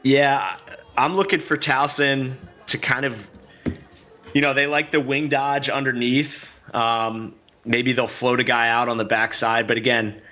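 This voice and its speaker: A man's voice: medium pitch, sharp tone, lo-fi sound. A man giving color commentary on a lacrosse game, loose and rambling, with lots of "you know" fillers. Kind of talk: sports analysis.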